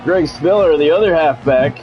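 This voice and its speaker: A man's voice: on the high side, normal speed, muffled recording, soft background music. A man streaming a football video game, mixing play-by-play commentary with casual, blunt, salty chat.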